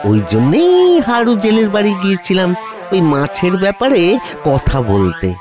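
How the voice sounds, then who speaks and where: A man's voice: high-pitched, wildly swooping pitch, moderate pace, music in the background. A man voicing a timid, fawning village schemer in a comedy cartoon, stammering and obsequious. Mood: flustered, fawning.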